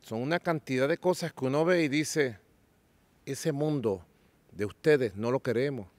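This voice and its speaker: Venezuelan-born male podcast host, deliberate storyteller, dry studio sound. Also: mid-range voice, darkish tone, dry recording. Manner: slow, measured storytelling with drawn-out lists. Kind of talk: political conversation.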